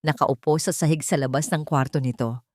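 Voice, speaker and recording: A woman narrates a dramatic story in a somewhat low voice. Her phrasing is deliberate and emotive, and the recording is dry and close. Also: steady tone, a little mellow, no echo.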